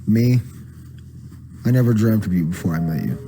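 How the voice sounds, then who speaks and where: A man with a low-pitched voice narrates a story as spoken word, with music clearly playing behind him. His delivery is casual and slangy, with plenty of swearing. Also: lively intonation, normal speed, warm tone.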